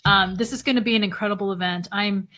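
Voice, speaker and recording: A woman's voice: mid-range voice, steady tone, fast, pushed and bright. Chatty woman host losing her voice. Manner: chatty, laughs often, many fillers. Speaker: event host interviewing a speaker. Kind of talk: casual live video chat.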